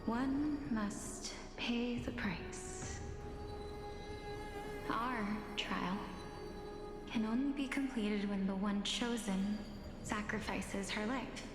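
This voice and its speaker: An actress playing a poised young woman scholar in a video game, speaking in a measured, formal, theatrical manner. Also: medium pitch, steady tone. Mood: solemn, resigned. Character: poised young woman scholar, playful yet solemnly resigned. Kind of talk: scripted dramatic game dialogue. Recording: echoey long reverb, music behind.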